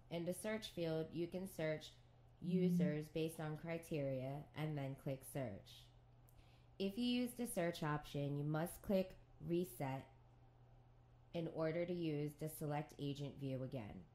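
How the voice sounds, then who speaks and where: A woman reading scripted instructions for a software tutorial in a measured, neutral, step-by-step way. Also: deep voice.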